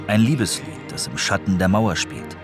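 A man's voice: on the low side, animated, moderate pace. A man reading a scripted narration for a radio documentary. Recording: music under the voice. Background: music.

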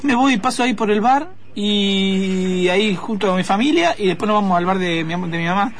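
Man playing a high-pitched, timid, eager student. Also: brisk, natural voice. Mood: deferential, eager. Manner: hesitant, apologetic, repeats words. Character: timid, deferential young male student, eager. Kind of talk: improvised radio theatre.

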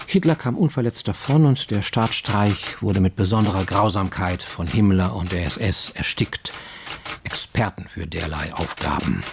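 An actor playing a weary male professor reading out his letter in a radio drama, measured and explanatory. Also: lowish voice. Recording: clearly noisy, clicking sounds behind.

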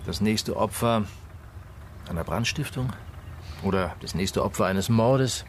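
An actor playing a dry-humoured, probing police inspector in a German radio crime drama, speaking deliberately with musing hums. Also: male voice, on the low side, a little hiss or hum, natural voice.

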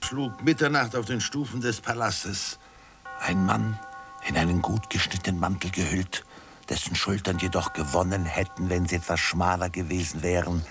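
An actor playing a grand, pompous radio announcer with a gravelly voice in German radio comedy, theatrical in delivery. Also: male voice, low-pitched, a little slow, music in the background. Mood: solemn, theatrical. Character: solemn male radio announcer, grandly overblown.

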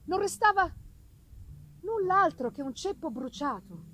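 A woman giving a dramatic, theatrical reading of literary narration, with deliberate phrasing and pauses. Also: extremely high pitch, big pitch swings, sharp tone. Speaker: reader of a literary audiobook. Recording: live reading with noticeable background noise.

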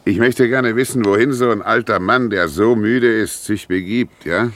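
Questioning officer played by a man, low voice, patronising, deliberate, falsely friendly; vintage radio play. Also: fairly bright tone, dry recording, close to an everyday voice. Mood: coaxing, probing. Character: slyly coaxing, patronising police patrolman. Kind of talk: 1950s German radio drama acting.

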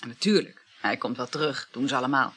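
A woman's voice: extremely low pitch, a little fast, fairly bright tone, slightly rough, exaggerated voice. A woman acting a flighty, chatty married woman having a secret affair in a naturalistic radio play, gossipy and matter-of-fact about scandal. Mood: resigned, nonchalant.

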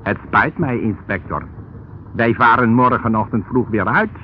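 An actor playing an evasive, formally polite ship's officer in a Dutch radio play, stiff and rehearsed. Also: male voice, medium pitch, animated, a little slow, natural voice. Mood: politely evasive. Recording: muffled, noisy old radio recording.

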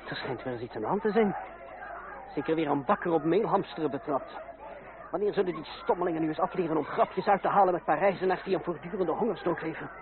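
An actor playing a bitter, ironic young outsider in revolutionary France, in a Dutch radio drama with a dry, sardonic delivery. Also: male voice, a little high, fast talker, background noise, close to an everyday voice. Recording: tinny old radio recording, crowd behind.

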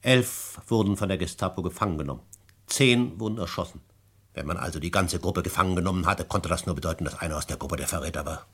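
Grave resistance survivor, low husky voice, old 50s radio play style. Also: male voice, quick pace. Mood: grave, accusing. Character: grave male survivor of a betrayed resistance group. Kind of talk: 1950s German radio drama acting. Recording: vintage mono radio drama recording.

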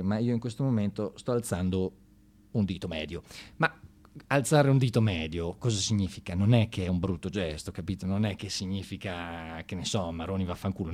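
A man performing a satirical theatre monologue, conversational and halting, with ironic mock praise and a readiness to get excited. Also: lowish voice.

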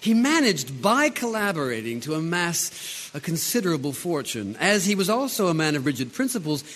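Urbane frivolous courtier, crisp bright voice, very polite, stagey, long echo. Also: male voice, fairly high pitch, very animated, normal speed. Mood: urbane, candid. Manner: polished, urbane, deferential. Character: suave, witty, frivolous courtier serving his prince. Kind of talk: theatrical period drama acting. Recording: echoey hall with long reverb.

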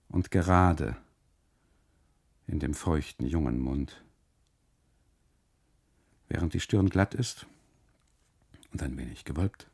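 A man narrating a literary radio monologue in the first person, deliberately, in short phrases broken by pauses. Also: low voice, creaky, a little mellow, slightly rough.

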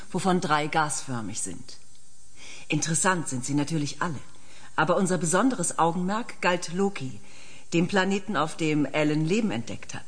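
An actress playing an earnest planetary scientist in a radio play, precise and measured, with a slightly husky voice. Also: female voice, on the low side. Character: earnest female planetary scientist quietly enchanted by her planet. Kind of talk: German science fiction radio play acting.

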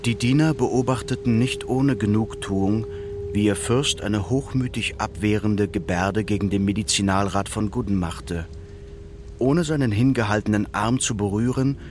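A man narrating a literary radio drama in a measured, solemn and precise manner. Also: lowish voice.

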